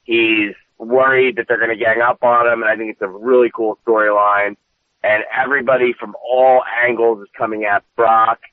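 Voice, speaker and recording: A high-energy man with a booming voice, co-hosting a casual wrestling recap and swearing freely. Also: level tone, moderate pace, dry recording. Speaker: podcast co-host. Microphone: phone call. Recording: dry tinny phone line.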